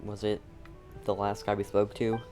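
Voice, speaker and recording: A woman's voice: extremely low pitch, completely flat delivery, normal speed, dark tone. A woman streaming a game, giving casual commentary with dry, joking interjections. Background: faint game music.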